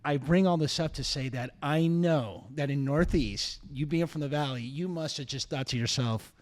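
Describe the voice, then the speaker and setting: A man's voice: a little high, moderate pace, darkish tone. A man from Peru hosting a podcast, interviewing a guest in casual chat and chiming in eagerly. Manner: eager, chimes in often.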